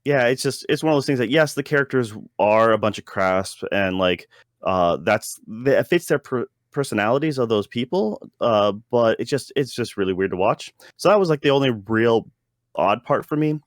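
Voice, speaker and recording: A man's voice: medium pitch, expressive, moderate pace, no room sound, clean studio sound. A man co-hosting a casual review chat, talking in a hesitant, rambling way and often interrupting himself. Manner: hesitant, rambling delivery with many self-interruptions. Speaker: podcast co-host reviewing a show.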